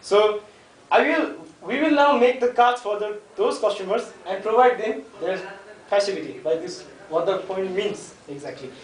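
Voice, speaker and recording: Young guy presenting in class, pretty high voice, echoey room, mic far off. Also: moderate pace. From Nepal. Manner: hesitant, jokey, addresses the class. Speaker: student presenter.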